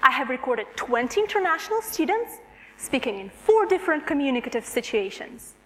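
A woman's voice: high-pitched, sing-song, brisk, a bit bright. A woman with a Russian accent, a native Russian speaker, giving a prepared, composed three-minute thesis talk to an audience. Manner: clear, composed, engaging with the audience. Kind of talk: prepared public speech. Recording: long hall reverb.

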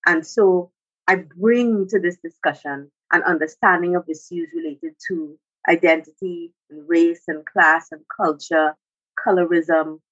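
A woman's voice: fairly low pitch, a bit bright, breathy edge, muffled recording. A woman with a Trinidadian accent speaks as an academic guest, explaining formally and restating points with emphasis. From Trinidad and Tobago. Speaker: academic guest, music sociologist. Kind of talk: explanatory interview talk.

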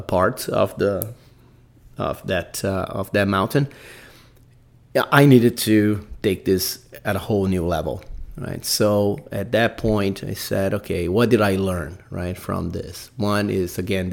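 A man's voice: low-pitched, vocal fry. A man from Brazil with a Brazilian accent, hosting a solo podcast, talking casually and rambling with many fillers and "right?" tags. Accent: Brazilian Portuguese. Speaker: podcast host.

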